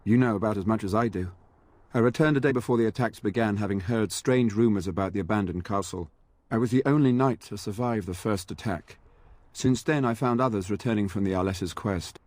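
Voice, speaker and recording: Man acting as a grave, low-voiced knight. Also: darkish tone, no room sound, close to an everyday voice. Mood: grave, somber. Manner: formal, measured, courteous. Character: earnest, grave male knight in a fantasy game. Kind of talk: scripted fantasy game dialogue.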